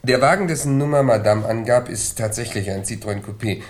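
An actor playing a brisk police assistant in a 1970s German radio crime drama, reporting to his boss in a matter-of-fact way. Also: medium pitch, natural voice.

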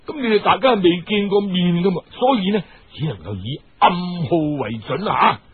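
A man doing a hushed, shady Cantonese go-between. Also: high voice, expressive, normal speed, slightly rough, exaggerated voice. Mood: confiding, persuasive. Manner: hushed, secretive, insistent. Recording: muffled, band-limited radio-style recording.

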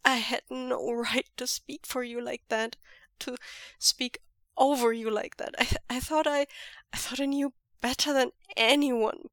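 A woman's voice: a little high, pushed and bright, no room sound. Audiobook: woman reading as a young man, tearful and stammering, voice breaking, dry close sound. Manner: stammering, voice cracking and choking up.

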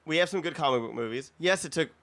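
Guy on a podcast, really bright sharp voice, kinda rambles and stumbles thru his point, casual chat. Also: a little high, animated. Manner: halting, rambling, self-interrupting. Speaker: podcast panelist. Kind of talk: casual group chat about films.

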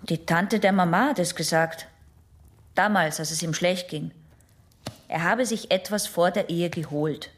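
An actress playing a young female patient in a German radio drama, halting, prim and defensive as she answers. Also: on the low side, lightly acted. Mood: resentful, confiding. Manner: halting, protesting, naive and prim.

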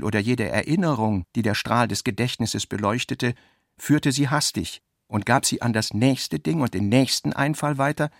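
A man's voice: mid-range voice, vocal fry, no room sound, clean studio sound. A man reading scripted literary narration for a radio play as its narrator.

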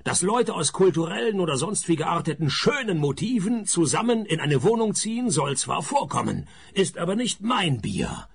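A sardonic private eye in a radio drama. His creaky voice rides up and down in pitch as he narrates with dry, weary sarcasm. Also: very animated, moderate pace, hardly any pauses, heavily acted. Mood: wry, weary. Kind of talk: radio play narration and dialogue.

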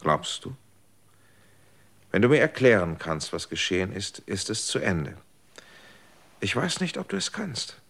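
A man's voice: on the low side, sing-song, unhurried, vocal fry, pauses often, husky, full character voice. An actor in a radio drama playing an anxious, probing man who cannot explain why he is still alive, speaking in a searching, insistent way. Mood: questioning. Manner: searching and insistent, swings between hushed and urgent. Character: anxious, probing man who survived his fated hour. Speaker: lead character.

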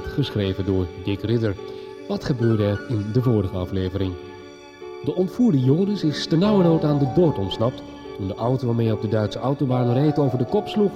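A man narrates a radio soap recap in a scripted, announcing style. Clear music plays beneath him. The recording is slightly dull, like a nineties broadcast. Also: mid-range voice. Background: music under the voice.

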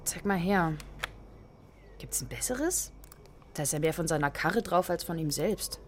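An actress playing a matter-of-fact, inquisitive friend in a naturalistic radio drama, with faint background noise. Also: female voice, fairly low pitch, expressive, unhurried, music in the background. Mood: focused, curious.

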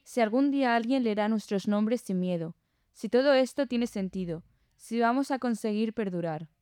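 A woman playing a smooth, mellow, thoughtful writer. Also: fairly high pitch, normal speed, dry recording, clean studio sound, lightly acted. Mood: pensive. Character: committed woman writer, thoughtful, wistful and resolute. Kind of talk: scripted audio drama dialogue.

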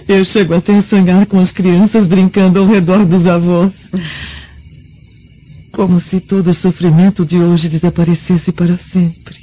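An actress with a Brazilian accent playing a gentle, wistful mother of a bride in an ancient Roman radio drama. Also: lowish voice, little pitch movement, measured pace. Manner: gentle, sentimental. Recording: muffled radio drama, faint noise.

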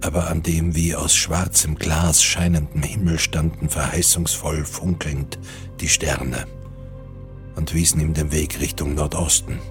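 A man's voice: rumbling low, little pitch movement, unhurried. A man reading scripted literary narration for a radio drama. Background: music.

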